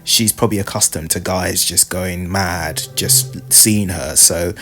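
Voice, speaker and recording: A man's voice: deep voice, steady tone, measured pace, over music. A man giving a casual, hesitant commentary on a dating show clip, with lots of fillers. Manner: hesitant, filler-heavy, musing. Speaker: Bible study commentator.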